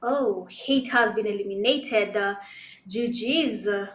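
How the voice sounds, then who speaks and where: A Kenyan woman whose first language is Swahili, giving live esports commentary in a conversational, hesitant way with pauses. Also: medium pitch. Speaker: esports caster. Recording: roomy, echoing sound.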